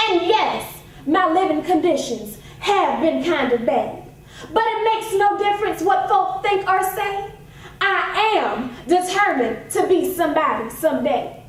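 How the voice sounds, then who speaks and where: Female poetry reciter: impassioned, declamatory, unhurried delivery, distant in a heavily echoing space. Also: very high voice. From Savannah, Georgia, United States. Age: 20s. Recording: very echoey hall, distant microphone.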